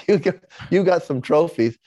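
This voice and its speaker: A man's voice: on the high side, expressive, moderate pace, mellow, no room sound, muffled recording. Man in his sixties with a lisp. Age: 60s. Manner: repeats words when starting sentences. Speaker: podcast guest. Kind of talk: candid interview storytelling.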